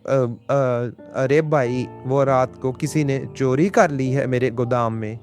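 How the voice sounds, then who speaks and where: A man's voice: medium pitch, slow pace, darkish tone, close to an everyday voice. A man playing an earnest, humble village farmer. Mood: distressed, pleading. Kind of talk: Hindi cartoon story acting. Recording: background music under the voice.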